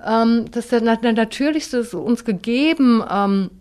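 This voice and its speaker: A woman's voice: on the high side, normal speed, darkish tone, smooth. A woman chatting casually about running, with many hesitations and restarts. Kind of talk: casual chat.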